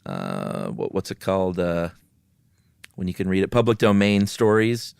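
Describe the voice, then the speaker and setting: A man's voice: low voice, huge pitch swings, normal speed, vocal fry, darkish tone, smooth, dry recording, studio quality. A man hosting a podcast, moving between casual chat and dramatic reading of a spooky story. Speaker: podcast host.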